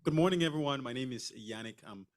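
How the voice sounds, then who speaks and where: A man's voice: mid-range voice, fast talker. Man from Cameroon, mid-range voice, friendly self-intro. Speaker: new member.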